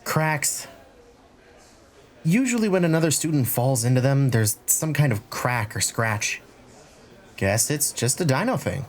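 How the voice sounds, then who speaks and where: A man's voice: medium pitch, expressive, a little slow, pauses often, natural voice. A man voicing a friendly, chatty young male dinosaur student, a helpful class president, in a visual novel fan dub. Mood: casual explaining.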